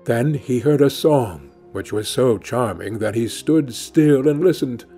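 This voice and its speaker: Slow, mellow male storyteller. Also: mid-range voice. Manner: measured, deliberate storytelling. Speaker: audiobook reader. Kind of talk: scripted fairy-tale narration. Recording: music under the voice.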